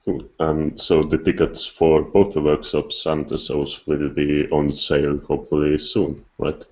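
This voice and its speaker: Man, very deep monotone, casual podcast chat, dull lo-fi sound. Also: measured pace.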